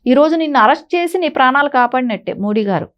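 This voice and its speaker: High-voiced, emphatic woman commentator. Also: expressive, fast, lo-fi sound. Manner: emphatic, insistent, with rhetorical questions. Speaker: political commentator on a news talk show. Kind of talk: opinionated news discussion.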